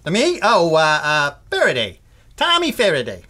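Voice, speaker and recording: A man's voice: on the high side, huge pitch swings, a little slow, pushed and bright, full character voice. An actor playing a nervous, polite young sound-effects man in an old-time radio play, stammering and hesitant. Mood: awkward, nervous. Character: nervous young male Foley artist, polite newcomer.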